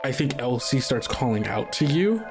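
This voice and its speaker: A man's voice: medium pitch, big pitch swings, moderate pace, loud music behind the voice. A man hosting a tabletop game, chatting casually and narrating scenes as game master. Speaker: game master and podcast host.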